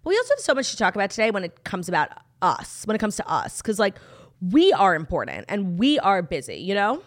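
A woman's voice: mid-range voice, wildly swooping pitch, quick pace. A woman, co-hosting a casual chat in a bubbly, gushing, playful way. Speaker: podcast co-host.

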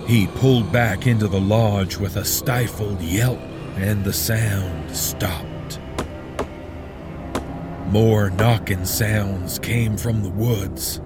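A man narrating a horror story in a hushed, low, drawn-out delivery, over eerie music.

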